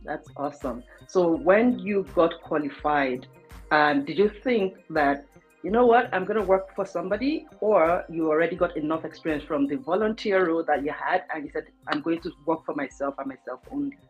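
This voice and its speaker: The woman hosting an online chat show, low husky voice putting questions over music. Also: animated, moderate pace, dull audio. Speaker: interview host. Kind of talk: conversational interviewing. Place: remote video call.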